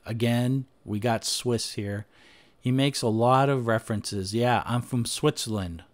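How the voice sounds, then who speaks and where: A man presenting history research over slides, speaking off the cuff with frequent pauses, "uh"s and restarts. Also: medium pitch. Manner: unscripted, thinking aloud with frequent "uh" and restarts. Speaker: history podcaster. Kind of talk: screen-share presentation, talking over slides.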